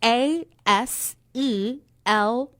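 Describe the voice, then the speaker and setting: Unhurried American female radio co-host, higher voice. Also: a bit bright, dry recording, clean studio sound. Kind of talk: radio show presenting, announcing.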